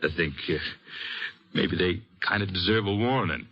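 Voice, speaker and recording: An actor playing a folksy, sheepish middle-aged drifter in an old-time radio western, husky and hesitant in his speech. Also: sits low, moderate pace. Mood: hesitant, eager. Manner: folksy, hesitant, rustic drawling grammar. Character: drifting cowboy father, folksy, sheepish, apologetic. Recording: dull, lo-fi old radio recording.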